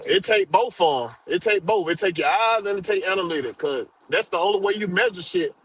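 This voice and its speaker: A man arguing sports in a forceful, profane, casual way. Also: high-pitched, fairly quick, husky, rough recording.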